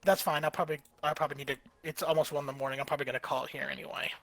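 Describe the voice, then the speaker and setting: A young man chatting casually while streaming a game late at night, tired and rambling, restarting his sentences as he gets excited about old games. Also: on the high side, rapid-fire, dry recording. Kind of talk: casual chat while gaming.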